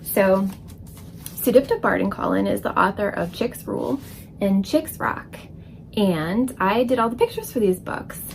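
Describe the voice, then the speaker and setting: A woman's voice: medium pitch, animated, measured pace, vocal fry, a little hiss or hum. A woman, chatting casually to camera as a children's illustrator. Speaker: children's book illustrator and author. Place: indoor room full of books.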